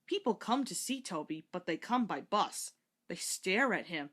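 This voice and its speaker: A woman's voice: fairly low pitch, big pitch swings, normal speed, a bit bright. A woman reading a scripted children's story aloud as narrator.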